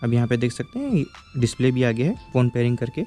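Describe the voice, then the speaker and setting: A man's voice: mid-range voice, big pitch swings, quick pace, warm tone, soft background music. A man giving a casual, step-by-step product review, explaining patiently and checking in with the viewer as he goes.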